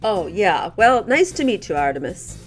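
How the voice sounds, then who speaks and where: A man voicing a friendly young woman hero in an audio drama, using a high falsetto. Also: sing-song, moderate pace, faint music behind.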